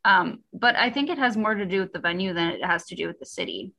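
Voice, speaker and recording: A woman's voice: medium pitch, animated, quick pace, vocal fry, sharp tone. A young woman from Ontario, a guest musician chatting casually in an interview, laughing often and trailing off with fillers. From Ontario, Canada.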